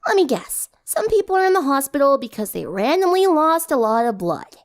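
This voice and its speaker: Woman acting a brash, high-pitched teenage tomboy. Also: very animated, brisk. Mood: blunt. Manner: blunt, teasing.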